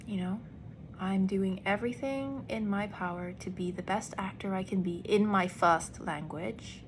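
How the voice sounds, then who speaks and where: Earnest woman actor talking slow and deliberate, little pauses, faint hiss behind. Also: mid-range voice. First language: English. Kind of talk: reflective personal vlog monologue.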